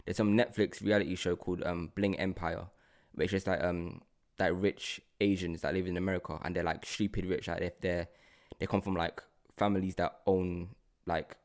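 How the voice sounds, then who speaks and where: Young man, low-pitched voice, casual podcast chat, rambling slangy storytelling. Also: little pitch movement, moderate pace. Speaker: podcast co-host.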